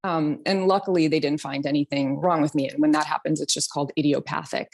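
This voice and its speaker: Woman, 30s, from New York, low speaking voice; chatty guest, um-heavy, laughs lightly. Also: fairly even intonation, quick pace, gravelly. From New York City. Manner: chatty, frequent ums and soft laughs. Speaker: guest, singer and voice teacher. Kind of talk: casual interview talk.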